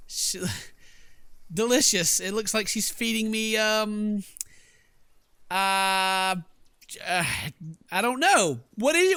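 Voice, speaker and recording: Bright, forceful gamer guy. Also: high-pitched, no room sound, studio quality. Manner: jokey, rambling. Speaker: let's play streamer. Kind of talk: casual gameplay commentary with read-aloud narration.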